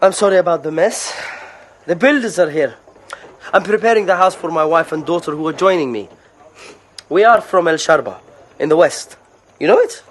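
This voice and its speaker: An actor playing a callous slave merchant in an audio drama, coaxing and polite with menace underneath. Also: male voice, fairly high pitch, lively intonation, normal speed, pauses often, slight background noise, close to an everyday voice. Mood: genial, menacing. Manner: casual, coaxing politeness laced with threat.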